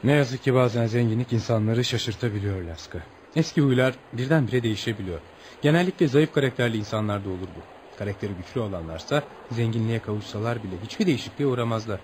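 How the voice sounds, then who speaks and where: An actor playing a courteous, reflective gentleman visitor, gently probing and philosophical, in radio theatre. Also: mid-range voice, fast, slight background noise, natural voice.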